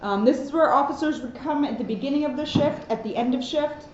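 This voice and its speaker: High-voiced woman guiding a tour, roomy sound. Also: a little fast. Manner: plain, explanatory, addressing viewers directly. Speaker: police officer giving a station tour. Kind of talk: informal guided tour narration. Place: indoor rooms and hallways of a police station. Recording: roomy, muffled, noisy, distant camera microphone.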